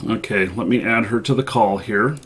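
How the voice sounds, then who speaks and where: A man hosting a livestream in casual chat, with a halting, hesitant delivery. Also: on the low side, fairly bright tone, a little hiss or hum. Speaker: live show host.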